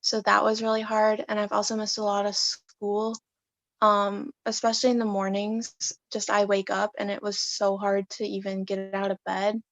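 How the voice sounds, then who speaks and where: A teenage girl from Wisconsin speaking as a panel guest, soft and hesitant with fillers. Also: medium pitch, monotone, normal speed, dry recording, dull audio. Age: teens. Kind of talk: casual panel talk.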